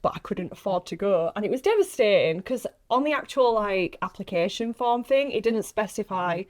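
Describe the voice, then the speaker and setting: A woman's voice: medium pitch, lively intonation, moderate pace, darkish tone. A woman chatting casually as an actress on a podcast, slangy and self-deprecating. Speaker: actress interviewing a fellow actress on a podcast. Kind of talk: casual chat.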